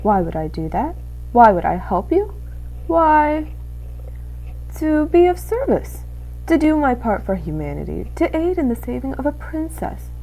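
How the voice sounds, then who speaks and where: Woman playing cruel sly male rat, storybook villain, mock-polite, insinuating, mellow, very lively intonation. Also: high-pitched, pitched above the performer's own voice, exaggerated voice, poor audio. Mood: oily, mock-friendly. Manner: falsely courteous, drawn-out, insinuating.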